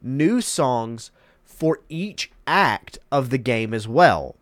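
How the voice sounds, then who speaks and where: A man's voice: mid-range voice, animated, extremely slow, no room sound, clean studio sound. A man hosting a casual gaming commentary, congested and emphatic, repeating words for emphasis. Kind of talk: casual commentary reading articles.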